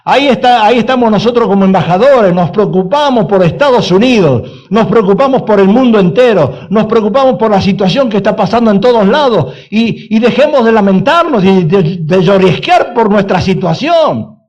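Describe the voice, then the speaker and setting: A man's voice: sits high, lively intonation, normal speed, darkish tone, dull audio. A man preaching a sermon as pastor, speaking emphatically with repeated phrases and rhetorical questions.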